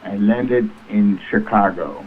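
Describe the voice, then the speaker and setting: A man's voice: fairly low pitch, takes it slowly. A man in his eighties from Tanzania, talking conversationally as an interview guest over the phone. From Tanzania, East Africa. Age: 80s. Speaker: guest, reflexology practitioner. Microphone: phone call. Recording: noisy, tinny phone line.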